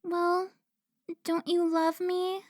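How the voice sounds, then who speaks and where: A woman's voice: very high voice, little pitch movement, dry recording, clean studio sound, heavily acted. A woman playing a teasing, pouty, centuries-old vampire who looks twenty, in a cinematic audio roleplay. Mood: playful, teasing. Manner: playful, pouty, drawn-out teasing.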